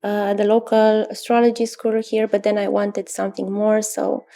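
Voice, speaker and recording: A woman in her thirties from Romania with a Romanian accent, an astrologer answering interview questions thoughtfully, with pauses and fillers. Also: medium pitch, monotone, creaky. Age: 30s. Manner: thoughtful, many pauses and fillers, soft laughs. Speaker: astrologer guest.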